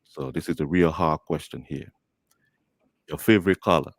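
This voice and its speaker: Liberian man hosting a podcast, very deep voice, dry, chatty and easygoing. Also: normal speed, no room sound. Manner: friendly, chatty, laughs easily. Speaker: host. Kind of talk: podcast interview.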